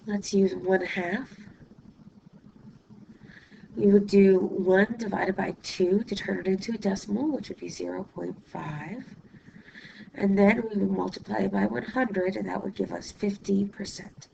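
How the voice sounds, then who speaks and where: A woman math teacher, hoarse and losing her voice from illness, patiently explaining a lesson step by step while writing, often checking in with "okay?". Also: medium pitch, steady tone, warm tone, dull audio, a little hiss or hum. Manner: writes while talking, pausing mid-sentence. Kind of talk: recorded classroom lesson.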